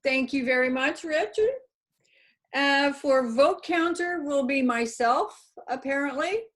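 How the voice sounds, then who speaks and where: High-voiced woman chairing a meeting. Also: slow pace, a bit bright. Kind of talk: running a club meeting. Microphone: video call.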